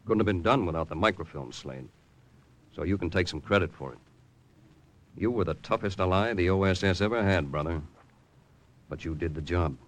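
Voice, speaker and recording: A steady secret agent in a vintage radio drama. He has a deep voice and a composed, businesslike, clipped delivery. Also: moderate pace, pauses often. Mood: sober, appreciative. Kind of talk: 1950s radio drama acting.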